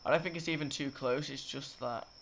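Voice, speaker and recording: Rambly lad doing a game tutorial, croaky sick throat, kinda gravelly. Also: male voice, mid-range voice, fairly even intonation, a little fast. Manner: rambling, self-correcting, filler-heavy. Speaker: gaming tutorial creator. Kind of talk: casual gameplay tutorial commentary. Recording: loud game noise behind. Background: game sound effects and clicks.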